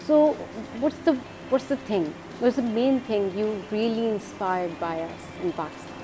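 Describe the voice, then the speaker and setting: A woman's voice: mid-range voice, normal speed, background noise. A woman hosting a morning show interview, asking short questions and murmuring agreement often. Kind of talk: casual interview.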